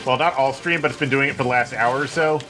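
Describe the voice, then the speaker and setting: A man streaming a video game, rambling, swearing and joking at himself while his voice turns hoarse and tired. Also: medium pitch, quick pace, bright, forceful tone. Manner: rambling, swearing, self-mocking. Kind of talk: casual gameplay commentary. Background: game music.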